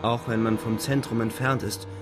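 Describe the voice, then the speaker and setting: An actor playing a sombre young soldier who recounts the trenches in a literary radio drama, measured and grave. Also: male voice, medium pitch, flat delivery, music in the background, close to an everyday voice. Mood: grave, intense. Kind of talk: literary first-person radio drama narration.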